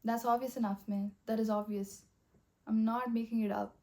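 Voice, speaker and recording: A young woman talking in a rambling, earnest way, full of fillers, with a soft voice that has a slight husky edge. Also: a little high, little pitch movement, moderate pace. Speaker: vlogger. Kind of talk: casual motivational monologue.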